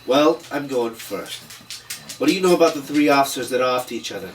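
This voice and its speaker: A man's voice: medium pitch, lively intonation, a little fast, lightly acted. A man playing a world-weary, middle-aged 1930s detective, dryly delivering terse hardboiled lines at a table read. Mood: guarded, businesslike. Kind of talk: noir voice-over and dialogue at a table read. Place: indoor table read, recorded on camera. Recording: distant mic, faint room noise.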